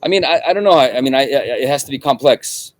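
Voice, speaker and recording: A middle-aged man from Russia, a guest in an interview, talking in a halting way with many restarts and repeated words. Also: mid-range voice, lively intonation. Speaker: guest journalist. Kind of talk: conversational interview.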